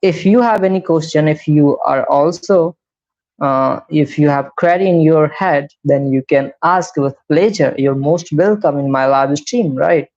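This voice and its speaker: A man's voice: on the high side, measured pace, darkish tone. Indian-accented young man teaching English. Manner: repetitive, explains step by step with frequent "right?". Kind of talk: live-streamed beginner English lesson. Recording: slightly dull lo-fi live stream audio.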